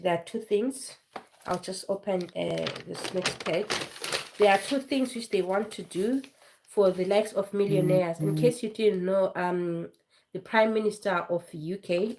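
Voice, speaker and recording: A woman with a cold talks through a live stream in a chatty, rambling way, circling back to repeat her points. Her voice sits fairly low, and she stumbles over words now and then. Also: darkish tone. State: has flu, voice low and foggy. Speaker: vlogger hosting a live stream. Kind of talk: casual live-stream talk.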